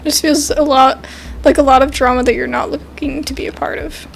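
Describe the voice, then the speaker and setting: A woman's voice: high-pitched, flat delivery, fairly quick, creaky, slight background noise. A woman reading a game's narration aloud and adding casual commentary as she plays. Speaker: gamer playing and reading a game aloud.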